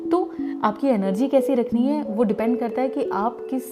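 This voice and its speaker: A woman's voice: fairly high pitch, normal speed, over loud music. A middle-aged woman from Delhi whose first language is Gujarati, a radio jockey explaining voice training to listeners in a conversational way. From Delhi, India. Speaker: radio jockey teaching voice work. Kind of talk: voice-training lesson.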